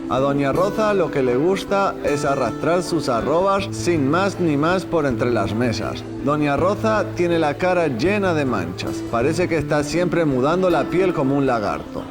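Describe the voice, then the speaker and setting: A man playing an elderly, courteous Spanish novelist who tells his life and reads a passage in a formal, measured way, in a scripted educational drama. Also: on the high side, expressive, over music. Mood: storytelling. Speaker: actor playing a historical writer.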